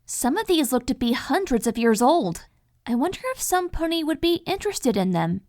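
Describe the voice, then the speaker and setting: A woman's voice: high voice, big pitch swings, normal speed, a little mellow. A woman voicing a thoughtful, protective adult unicorn mare in a cartoon-style audio drama. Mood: curious, composed. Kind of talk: animated fan fiction audio drama acting.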